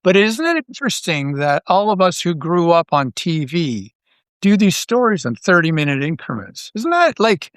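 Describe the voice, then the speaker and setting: A man with a somewhat high-pitched voice talks as a guest in a casual interview. He rambles and restarts, repeating words as he works out his thoughts. The sound is very dry, with no room echo. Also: big pitch swings, moderate pace, a bit bright. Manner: rambling, thinking aloud with false starts and repeated words. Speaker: interview guest, audio drama writer and creator.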